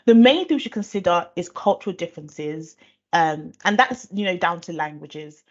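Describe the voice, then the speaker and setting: Woman, low voice, dull lo-fi audio. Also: lively intonation, moderate pace. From Nigeria. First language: Igbo. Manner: thoughtful, many fillers and restarts. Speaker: SEO speaker. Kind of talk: conversational explanation.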